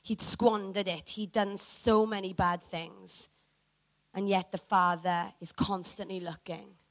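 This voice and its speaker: A woman preacher from Wales telling a personal story in a sermon, animated and dramatic, acting out what people said. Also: mid-range voice, a little slow, no room sound, low-quality recording.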